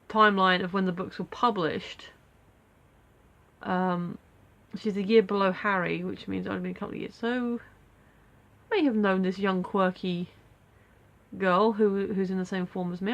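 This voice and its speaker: A woman's voice: mid-range voice, fairly bright tone, muffled recording. A woman with a stuffy nose from a cold, rambling casually with many fillers in a daily video diary from home. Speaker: vlogger.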